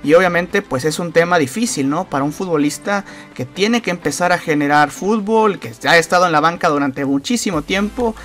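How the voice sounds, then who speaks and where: A man's voice: fairly high pitch, a little fast, hardly any pauses, fairly bright tone. A man giving casual soccer news commentary as a channel host, rambling with many fillers and repeated phrases. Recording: voice-over with faint background music.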